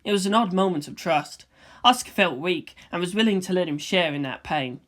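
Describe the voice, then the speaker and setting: A woman's voice: on the low side, a little fast. A woman narrator reading fan fiction as scripted storytelling.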